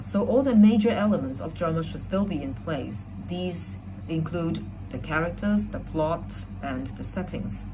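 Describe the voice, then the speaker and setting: A woman speaking as a competition judge, explaining her feedback point by point. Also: fairly low pitch. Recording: clear background noise.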